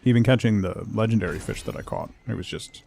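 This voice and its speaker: A man's voice: fairly low pitch, fast talker, gravelly, a little mellow, slight background noise. A man doing casual solo commentary on a gaming stream, muttering to himself with playful asides. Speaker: game streamer.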